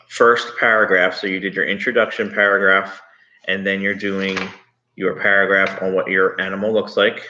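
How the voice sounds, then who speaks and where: Low-voiced male teacher, bright but lo-fi. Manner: conversational, instructive. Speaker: third grade teacher. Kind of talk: informal online read-aloud and assignment talk to pupils. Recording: dull lo-fi sound.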